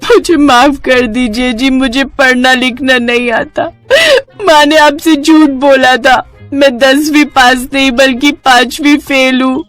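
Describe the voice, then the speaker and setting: Lady doing a timid naive young wife for a hindi cartoon story, high pleading voice, music behind. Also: moderate pace, darkish tone, dry recording, close to an everyday voice. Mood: tearful, pleading. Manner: hesitant, pleading.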